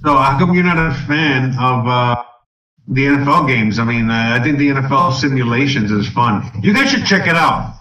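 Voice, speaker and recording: A man's voice: medium pitch, expressive, moderate pace, bright, forceful tone, distant mic, soft background music. A man co-hosting a sports betting podcast in casual chat, loose and swearing, calling everyone bro. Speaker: podcast co-host. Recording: echoey room, off the mic, faint noise.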